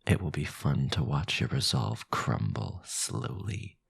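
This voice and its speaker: A man playing a powerful, sinister vampire lord in an ASMR roleplay, possessive, silky and condescending, with a low, gravelly, breathy voice. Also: sing-song, a bit bright, no room sound, exaggerated voice. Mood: menacing, seductive.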